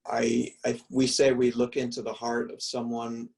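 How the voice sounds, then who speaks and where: A male professor talks through his thoughts in a mellow, musing way, circling back and restarting as he goes. His mid-pitched voice is gravelly with fry. He sits a little back from the mic on a video call from home. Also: monotone, normal speed, recorded from a distance. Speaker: art history professor. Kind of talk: reflective conversational answers. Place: room at home.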